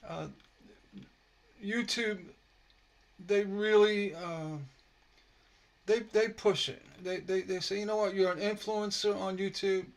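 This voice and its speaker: A man teaching stained glass on a casual live stream, slightly hoarse from a sore throat, relaxed and rambling with many fillers. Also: high-pitched. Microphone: computer mic on a live stream.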